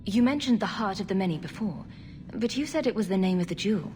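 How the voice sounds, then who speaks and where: A woman playing a composed, diplomatic female champion in a fantasy video game, measured and probing. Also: mid-range voice, lively intonation, darkish tone, soft background music, lightly acted. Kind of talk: video game cutscene acting.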